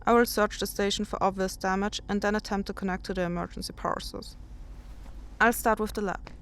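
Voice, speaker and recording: Woman acting a creaky-voiced space explorer logging progress. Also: mid-range voice, steady tone, a little fast, a little hiss or hum, natural voice. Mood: uneasy, focused. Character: tense female space explorer searching a dead station. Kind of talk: audio drama acting, spoken progress log.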